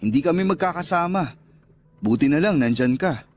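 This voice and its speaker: An actor playing a smooth-talking, indulgent restaurant owner courting his lover in a radio drama, casual and coaxing. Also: fairly high pitch, animated, normal speed, slight background noise, lightly acted. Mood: indulgent, confiding. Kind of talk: Tagalog radio drama dialogue. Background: background music.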